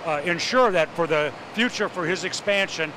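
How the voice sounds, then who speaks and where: A man with a fairly high voice speaks as mayor in a casual interview, stumbling through uhs and restarts. Clear outdoor noise runs under him. Traffic hums in the background. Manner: halting, many uhs and restarts. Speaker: city mayor.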